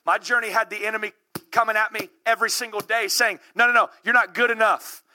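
A man in his thirties preaching a sermon with rising passion, calling out to the congregation and building to shouts. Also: high voice, fairly even intonation, fast, a little hiss or hum. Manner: calls out to the congregation.

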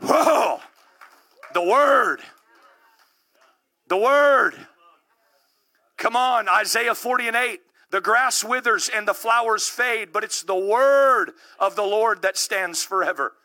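A man in his thirties preaches with fervor, pushing up into shouts and calling out to the congregation. His voice sits high for a man. It has a very bright, ringing tone. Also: slow pace, pauses often. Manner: impassioned, builds to shouting; calls out to the congregation. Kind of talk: sermon.

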